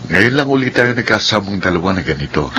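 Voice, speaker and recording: An actor playing an earnest, devoted young suitor in a Filipino radio drama, coaxing and tender, with a Filipino accent. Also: male voice, on the low side, lively intonation, quick pace, bright, forceful tone, slight background noise. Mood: caring, coaxing. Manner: earnest, coaxing, mixes English into Tagalog.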